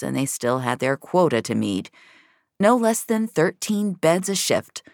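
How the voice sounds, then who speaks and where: A woman's voice: a bass voice, vocal fry, no echo, clean studio sound. A woman narrating scripted science-fiction drama with a wry, noir storytelling manner.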